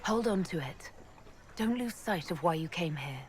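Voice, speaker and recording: An actress playing a composed, caring elf mage leader with a wry streak in a video game. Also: female voice, on the low side, lively intonation, normal speed, darkish tone, a little hiss or hum, natural voice. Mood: composed, supportive. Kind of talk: game cutscene dialogue.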